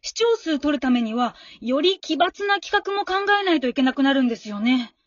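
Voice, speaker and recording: An amateur actress playing a friendly, encouraging female fan who talks politely and gives gentle advice in a radio drama. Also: high-pitched, sing-song, moderate pace, no room sound, muffled recording. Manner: polite, supportive, gently advising.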